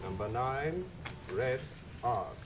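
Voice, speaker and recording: Distant casino croupier. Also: male voice, mid-range voice, animated, slow, noisy background. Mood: brisk, formal. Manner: formal announcing call. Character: casino croupier calling bets. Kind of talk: old-time radio drama acting. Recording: distant old radio recording over music.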